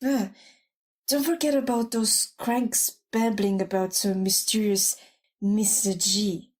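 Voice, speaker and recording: A woman's voice: medium pitch, steady tone, slow. A woman playing a jaded, blunt cleaning lady turned news anchor in an audio drama, offhand and muttering. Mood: offhand, dismissive.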